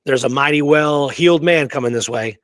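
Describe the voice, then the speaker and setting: A man's voice: fairly high pitch, a little fast, a bit bright, no echo, studio quality. Emphatic man telling history stories casually. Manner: emphatic, keeps checking in with "right?". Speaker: history show co-host. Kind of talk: casual history discussion and storytelling.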